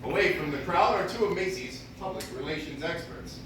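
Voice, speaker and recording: Genial old-time radio host guy, acted, formal script reading, higher male voice, far from mic, noisy. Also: lively intonation, brisk, fairly bright tone. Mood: genial, presenting. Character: genial 1940s radio producer-host, selling and narrating. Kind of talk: 1940s radio hosting, scripted narration. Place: coffee house stage, recorded from audience. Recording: echoey room from afar, clear noise.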